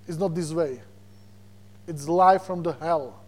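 A man from Slovakia with a Slovak accent, preaching a sermon as a guest preacher, animated and repeating words as he searches for them. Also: fairly high pitch, takes it slowly. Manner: animated, repeats words when searching, chuckles between points.

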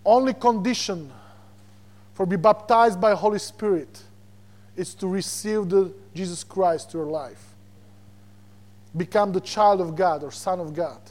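A man from Slovakia with a Slovak accent, preaching a sermon as a guest preacher, animated and repeating words as he searches for them. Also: high-pitched, a little slow, pauses often. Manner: animated, repeats words when searching, chuckles between points.

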